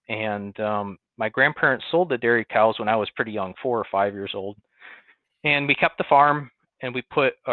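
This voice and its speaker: A man's voice: fairly low pitch, dry recording. Guest farmer, man, Western New York accent, easygoing storyteller full of "um"s, tinny phone-like line, dry sound. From Western New York, near Buffalo. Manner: relaxed storytelling with many "um"s and restarts. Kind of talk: conversational interview.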